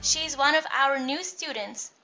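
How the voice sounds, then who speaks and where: A woman's voice: sits high, a little slow, pushed and bright, highs cut off. A woman from the program staff giving brief introductions of students. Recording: music playing behind.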